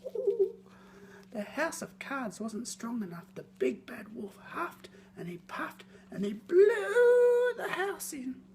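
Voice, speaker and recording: A woman reading a scripted children's story in a theatrical, expressive way, lingering on words. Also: high voice, slow, a little hiss or hum.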